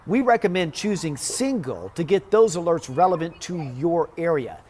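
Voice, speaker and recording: A man presenting a scripted how-to demonstration, going through each step in a clear, orderly way. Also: on the high side, lively intonation, moderate pace, a little mellow, slight background noise. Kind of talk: scripted instructional narration.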